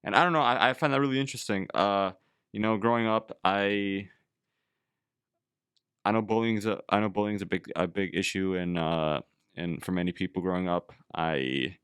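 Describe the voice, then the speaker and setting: A man's voice: low-pitched, moderate pace, a bit bright, clean tone, no room sound, studio quality. A man hosting a casual conversation, talking reflectively and rambling with lots of "like". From Canada. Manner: reflective, rambling, heavy use of "like".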